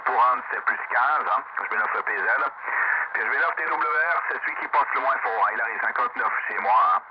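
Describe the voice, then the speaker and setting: A man's voice: medium pitch, a little fast. A man with a Swiss French accent talking casually as an amateur radio operator over shortwave. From Switzerland. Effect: shortwave radio transmission. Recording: muffled, very noisy shortwave radio reception.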